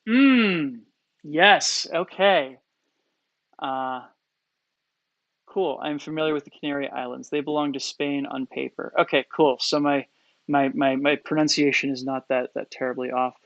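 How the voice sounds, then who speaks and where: A man with a Coloradan accent talks through a live-stream Q&A tutorial, full of fillers and restarts. His voice sits a touch high and sounds dry and close. The audio is slightly dull and lo-fi. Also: unhurried, fairly bright tone, dry recording. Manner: hesitant, many fillers and restarts. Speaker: poi spinning instructor. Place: small indoor space.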